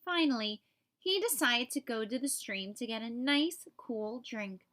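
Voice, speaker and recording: A woman reading a children's picture book aloud as an expressive storyteller for young listeners. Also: fairly high pitch, a little slow.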